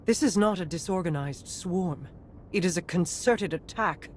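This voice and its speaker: An imperious queen of a mystical people acted by a woman in a video game. She speaks fairly low, with solemn, measured authority and regal command, over a faint rumbling background. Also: a little mellow, a little hiss or hum, natural voice. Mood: grave, certain. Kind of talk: video game dramatic dialogue.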